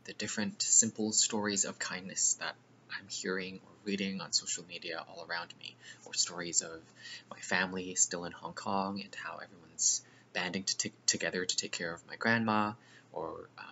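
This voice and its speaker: A man's voice: deep voice, steady tone, normal speed, creaky, bright, forceful tone. A man in his thirties, a playwright, talking informally and reflectively into a computer in a plain room. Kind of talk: informal reflective talk to camera. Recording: dull lo-fi webcam sound, background noise.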